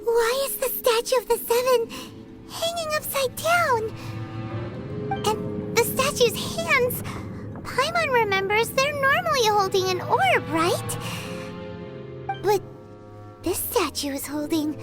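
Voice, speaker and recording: A woman voicing a tiny, excitable, childlike girl companion in a video game, squeaky and easily frightened. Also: takes it slowly, pauses often, music in the background, heavily acted. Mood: puzzled, uneasy. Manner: refers to self in third person, exclamatory. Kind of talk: video game character acting.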